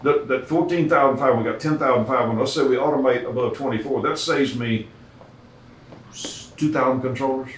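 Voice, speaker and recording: Older male, mid-pitched, assertive informal debater, ex-controller, roomy distant sound. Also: normal speed, slight background noise. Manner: blunt, assertive, poses questions and answers them. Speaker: retired air traffic controller. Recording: echoey room, distant mic.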